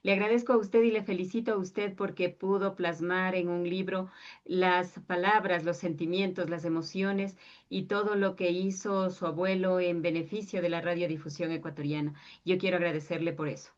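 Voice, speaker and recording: A woman interviewer with a somewhat low voice, speaking politely and formally as she thanks her guest. The recording sounds dull and lo-fi. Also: flat delivery, moderate pace. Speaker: interviewer, radio historian.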